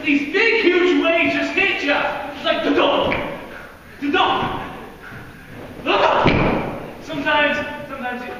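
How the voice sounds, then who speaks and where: Teen boy youth speaker, very high, loud and energetic, far from the mic in a reverberant hall. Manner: loud, energetic, laughs often. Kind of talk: lively devotional talk to a group. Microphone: no microphone. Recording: echoey hall, far from mic, faint noise.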